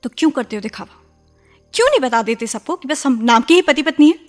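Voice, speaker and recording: An actress playing a hurt, insistent young wife in an intense, emotionally raw radio drama. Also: female voice, high-pitched, expressive, fast talker, faint music behind. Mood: accusing, insistent. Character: hurt, insistent young wife, once widowed, pleading for love. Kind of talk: Hindi radio drama acting.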